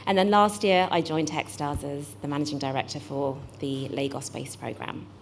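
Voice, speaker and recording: A woman's voice: low voice, fairly even intonation, normal speed, vocal fry, slight background noise. A British woman in her forties, a guest speaker, explaining in a chatty way and correcting herself as she goes. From United Kingdom. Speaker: guest speaker, accelerator managing director. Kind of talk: fireside chat on a panel stage.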